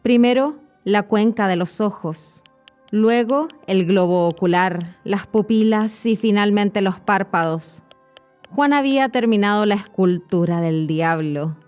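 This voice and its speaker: A woman with a Chilean Spanish accent, a podcast host and Spanish teacher, narrating a horror story in a clear, measured way. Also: medium pitch, a little mellow, faint music behind.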